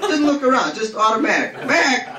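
A man's voice: fairly high pitch, fairly quick, crowd noise. A man voicing a brash, foul-mouthed racetrack gambler who spins a streetwise, colloquial yarn in a live reading. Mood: excited, amused. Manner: streetwise, colloquial, excitable storytelling. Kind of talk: acted character monologue in a live reading. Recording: roomy, distant mic, faint noise.

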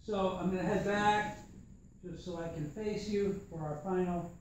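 A young man teaching a tai chi class in a dojo while he demonstrates, talking in a relaxed, thoughtful way. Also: sits high, moderate pace, a little hiss or hum. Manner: relaxed, thoughtful, chatty with fillers. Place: large empty dojo with mat floor. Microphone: far camera. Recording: echoey room from a distance.

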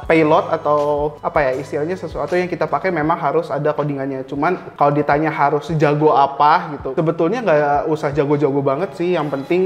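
A man's voice: a little high, steady tone, fairly quick, hardly any pauses. A young Indonesian man giving casual explanations as an interview guest, informally mixing in English tech terms. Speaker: interview guest, cyber security engineer. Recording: reverberant hall sound, faint music.

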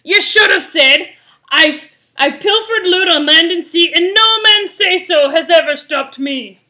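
A woman's voice: very high voice, moderate pace, bright, forceful tone, voice pushed up. A woman voicing a cranky, blustery male pirate captain who bellows in pirate slang for a storybook. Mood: exasperated. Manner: bellowing, rhyming pirate slang with bad grammar.

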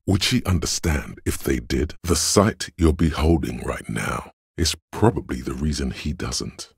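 A cold, reasoning inner voice from a detective video game. It is extremely deep and heavily husky, recorded close and dry. Each deduction comes out measured, cerebral and faintly condescending. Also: male voice, no room sound, heavily acted. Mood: dry, deliberate. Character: cold analytical inner voice of logic in a detective's mind. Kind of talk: video game narration in second person.

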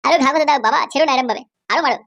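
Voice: high voice, racing, fairly bright tone, a little hiss or hum. A performer voicing an insolent, foul-mouthed son who taunts and orders people around in a comedy cartoon. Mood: egging on. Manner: taunting, bossy.

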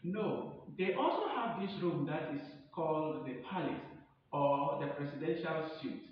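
A very echoey, distant recording with a faint rumble. A man acts as a chatty male receptionist with a slightly high voice, eagerly recommending in a promotional skit. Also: animated, measured pace. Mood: eager, persuasive. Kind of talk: acted promotional dialogue. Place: indoors, tiled front room. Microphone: distant camera or phone across the room. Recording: echoey room, distant dull mic, rumbling noise.

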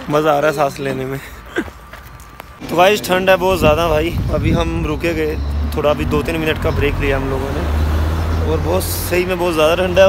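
A young man with a slightly raised voice chats brightly to his viewers while filming himself on a phone held at arm's length. He stands by a road outdoors, and wind and traffic noise come through clearly. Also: fairly high pitch. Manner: chatty, upbeat, addressing viewers directly. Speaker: vlogger. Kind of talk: casual travel vlog narration. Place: outdoors by a roadside, cold evening.

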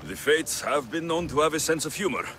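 An actor playing a confident, wry mercenary warrior of ancient Greece, dry and teasing, in video game dialogue. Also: male voice, on the high side, expressive, a little fast, music in the background, lightly acted.

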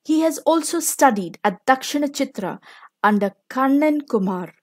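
A woman with an Indian accent reading a children's story aloud in a measured, expressive, deliberate way. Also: sits high. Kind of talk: scripted storytelling narration.

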